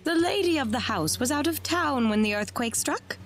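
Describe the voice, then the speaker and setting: A woman voicing a calm, formal household servant in a video game. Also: high voice, normal speed, slight background noise.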